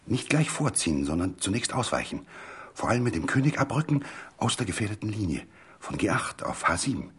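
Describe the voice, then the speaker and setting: A man voicing an intense middle-aged gentleman, a chess expert who speaks hastily and precisely in a literary radio reading. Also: on the low side, big pitch swings, brisk, husky, voice pushed up, heavily acted. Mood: hasty, insistent. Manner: hasty, precise, urgent.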